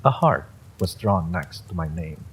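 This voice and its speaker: A man's voice: low-pitched, expressive, a little slow, breathy edge. A man reading a first-person story aloud as a dramatic narrator. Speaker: story reader.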